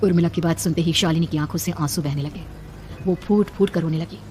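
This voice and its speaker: A woman's voice: sits low, fairly quick, warm tone, slight background noise. A woman narrating a scripted horror cartoon story in a dramatic storytelling style.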